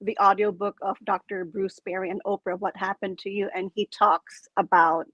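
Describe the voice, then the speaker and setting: A woman's voice: mid-range voice, flat delivery, moderate pace, a bit bright, no room sound, highs cut off. A woman with a Filipino accent, an interview guest and coach, talking in a chatty, enthusiastic way on a video call. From Philippines.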